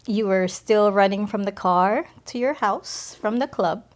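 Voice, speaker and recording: Slow, soft-spoken woman from the Philippines. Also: mid-range voice. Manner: soft, hesitant, many pauses and fillers. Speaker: podcast guest. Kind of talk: personal faith testimony.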